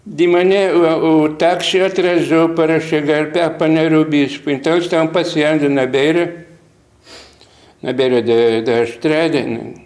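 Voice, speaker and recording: An older man speaking with a Lithuanian accent, his voice sitting a little high for a man. He remembers in a halting, rambling way, breaking off and starting his sentences over. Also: fairly even intonation, darkish tone. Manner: halting, rambling with many restarts. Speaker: missionary priest. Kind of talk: interview recollection.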